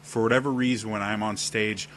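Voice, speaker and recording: A man with a deep voice giving casual on-camera advice about role-playing, demonstrating gestures as he explains. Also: steady tone, a little fast, fairly bright tone, slight background noise. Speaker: role-playing tips video host. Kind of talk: casual instructional talk to camera. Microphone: webcam setup at desk.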